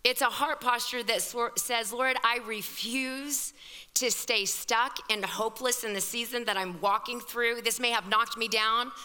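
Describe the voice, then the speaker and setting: Hoarse woman pastor, fervent conversational sermon, bright tone, reverberant church hall. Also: a little high, fairly even intonation, measured pace. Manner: fervent, conversational preaching with exclamations. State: scratchy, hoarse voice. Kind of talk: sermon at a women's evening service. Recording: long reverb of a large hall.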